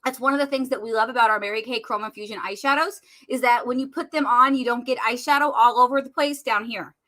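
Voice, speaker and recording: Bubbly woman with a bright high voice. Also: quick pace. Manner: chatty and bubbly, addressing viewers as y'all. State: losing her voice, clearing her throat. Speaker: makeup consultant hosting a live demo. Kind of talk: casual live-stream makeup tutorial.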